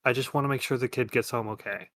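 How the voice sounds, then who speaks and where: A man voicing a wounded, protective police officer in a tabletop game, pained and halting as he brushes off his injury. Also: mid-range voice, steady tone, fairly bright tone, no room sound, lightly acted. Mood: pained, stoic.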